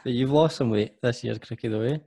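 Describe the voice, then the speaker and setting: A man's voice: mid-range voice, a little fast, creaky, warm tone, no echo. A man hosting a podcast interview, chatty and jokey, often restarting his sentences. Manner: rambling, self-correcting, jokey. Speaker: podcast host.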